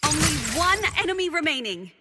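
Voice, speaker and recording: A woman voicing a female game announcer who calls out match events in calm, clipped lines. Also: high voice, brisk. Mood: announcing. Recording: game audio with loud music.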